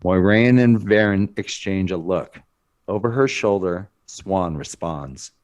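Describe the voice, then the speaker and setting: A man narrating a screenplay aloud in a dramatic, deliberate scripted style. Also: deep voice.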